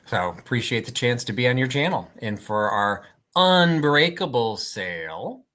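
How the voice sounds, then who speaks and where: Sharp, bright male co-host on a video call, still recovering from a sinus infection, talkative. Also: medium pitch, lively intonation, unhurried. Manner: chatty, laughs often. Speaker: live sale co-host. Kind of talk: casual live-stream chat.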